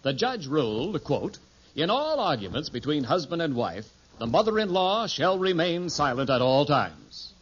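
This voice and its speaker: A man's voice: a little high, sing-song, moderate pace, breathy edge. A man reading scripted introductions and narration for an old-time radio show. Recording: muffled vintage radio, music behind.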